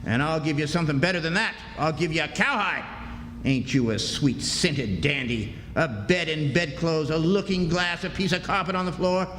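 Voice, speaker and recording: Man acting a high-pitched, bullying backwoods pappy. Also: normal speed, a bit bright, natural voice. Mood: mocking. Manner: scolding rustic drawl with folksy dialect. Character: bullying, sneering, greedy backwoods father, coarse old man. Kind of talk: live old-time radio drama acting. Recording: echoey hall, live stage, hissy background.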